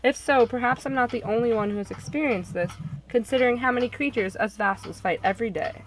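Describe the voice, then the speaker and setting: A woman's voice: fairly high pitch, fast talker, low-quality recording. A woman reading scripted first-person visual novel narration.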